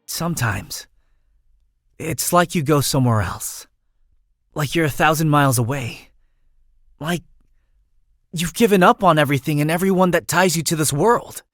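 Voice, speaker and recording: A young man voicing a gentle, sentimental exiled prince in a video game, speaking in a heartfelt, boyish, self-conscious way. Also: fairly high pitch, moderate pace, long pauses, no echo, clean studio sound, natural voice. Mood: tender, earnest. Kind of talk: video game character acting.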